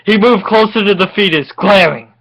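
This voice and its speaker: A person giving a tipsy, mock-dramatic reading of comic fan fiction, halting and often giggly. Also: medium pitch, fairly bright tone, slight background noise, low-quality recording. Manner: giggly, frequently breaking into laughter; melodramatic, halting delivery.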